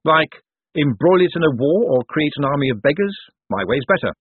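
A man voicing a brash, glib showman and con artist in an audiobook, with loud, cocky patter. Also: on the high side, animated, normal speed, husky, no echo, pitched above the performer's own voice. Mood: breezy, loud. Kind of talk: audiobook character voice. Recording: thin phone-like narrow-band audio.

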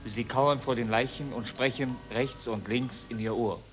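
An actor as a sober narrator, reading stage directions in a radio drama. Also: male voice, medium pitch, steady tone, normal speed, faint music behind, lightly acted.

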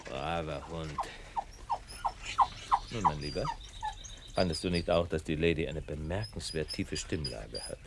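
A man in a radio play acting a shrewd, self-assured detective who lectures in a measured, wry, teasingly superior way. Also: extremely low pitch, gravelly, heavy background noise, heavily acted. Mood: calm, assured.

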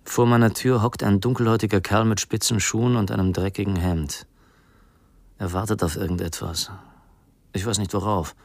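A man's voice: on the low side, creaky, no room sound. An actor playing a resigned, frightened man on the run, voicing his farewell letter in a subdued, melancholy way in a radio play. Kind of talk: radio play monologue, letter read in character.

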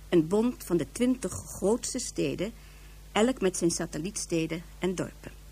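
A woman's voice: mid-range voice, darkish tone, husky. A woman performing as the narrator of a science fiction radio serial, reading in a scripted, informative documentary style.

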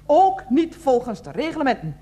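An actress playing an older, self-righteous head of a residents' association in a radio play, wheezy, formal and repetitive. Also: female voice, high voice, big pitch swings, normal speed, mellow. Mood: insistent. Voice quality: wheezy, asthmatic breathing. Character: fussy, indignant older woman heading a residents' association. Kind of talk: Dutch radio play acting.

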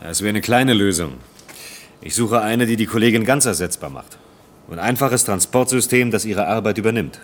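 An actor in a German radio drama playing a stiff, formal factory efficiency expert with a condescending manner. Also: male voice, lowish voice, expressive, moderate pace. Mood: matter-of-fact, formal. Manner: formal, condescending, matter-of-fact.

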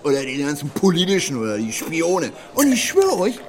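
An actor playing a loud, mocking tavern regular who rants in a radio play. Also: male voice, on the high side, wildly swooping pitch, fairly quick. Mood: ranting. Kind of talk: German radio play acting.

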